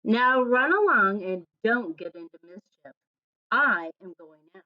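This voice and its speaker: Woman as a slow, motherly old rabbit. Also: a little high, animated. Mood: gently warning. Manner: careful, admonishing. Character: motherly old rabbit, gently firm and cautioning. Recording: dull lo-fi recording.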